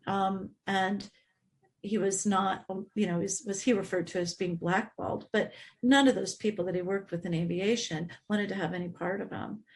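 American female, author in interview, mid-pitched voice, emphatic and informal storyteller. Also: steady tone, normal speed. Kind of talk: conversational historical explanation.

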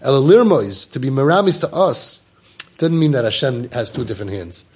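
Husky-voiced rabbi giving an animated class. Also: male voice, mid-range voice, normal speed. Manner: animated, mixes Hebrew and Yiddish words into English. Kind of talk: Torah class lecture.

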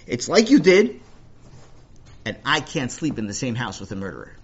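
A man voicing an excitable, indignant and self-righteous young boy in a children's story, dramatic and husky. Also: mid-range voice, moderate pace, muffled recording, a little hiss or hum. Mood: accusing, aggrieved.

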